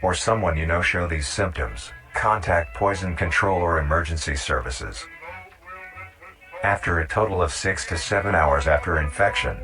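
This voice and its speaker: A man delivering measured, instructional narration in the scripted style of a nature documentary. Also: low voice, pushed and bright. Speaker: narrator of a horror nature-guide video. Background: music.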